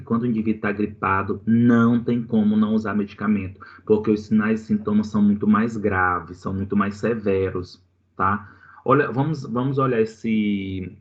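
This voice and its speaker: A man's voice: on the low side, muffled recording. A man giving a live online lecture on treating colds and flu, explaining step by step and checking in with the class. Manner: explanatory, many restarts and "tá?" checks. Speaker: pharmacy lecturer.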